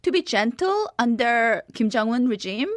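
A woman's voice: fairly high pitch, very animated, moderate pace, dry recording. A reflective woman from South Korea speaks earnestly, often restarting her sentences as she gives interview answers. Her medium voice is picked up dry and close on a studio microphone, with no room sound. She sounds middle-aged. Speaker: author and journalist guest.

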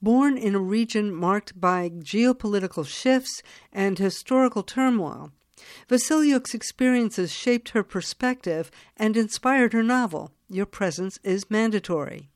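A woman radio show host reading a scripted introduction in a measured, formal way, then asking interview questions. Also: mid-range voice, dry recording, clean studio sound.

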